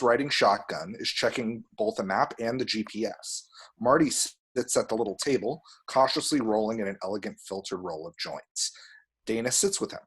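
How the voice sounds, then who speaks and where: A man's voice: medium pitch, breathy edge. A man reading screenplay action and scene headings as narration for an amateur table read. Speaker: reader of screenplay action description.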